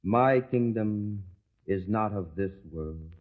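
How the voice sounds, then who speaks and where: A calm, solemn prisoner who answers in slow, archaic words. The voice sits a bit low and moves with great deliberation. It comes through a narrow, phone-like old radio-drama recording. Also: male voice. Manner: slow, grave, archaic speech. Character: calm solemn man on trial, the accused prophet.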